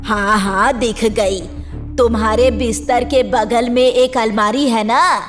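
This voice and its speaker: Woman acting sly village aunt, fortune-teller, cartoon, self-assured, slightly high, slow delivery. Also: fairly even intonation, faint music behind.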